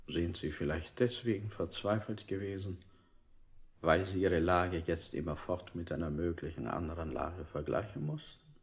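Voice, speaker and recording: Low-voiced formal male interrogator. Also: moderate pace, vocal fry. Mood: coolly probing. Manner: formal, probing questioning. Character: male interrogator, probing with absurd polite questions. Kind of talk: avant-garde German radio play acting.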